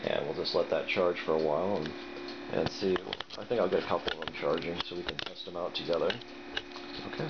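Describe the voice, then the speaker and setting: A man giving a casual, hands-on product demonstration, thinking aloud hesitantly as he goes. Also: deep voice. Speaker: product reviewer. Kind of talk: casual unboxing and demonstration talk. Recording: dull lo-fi sound with loud noise.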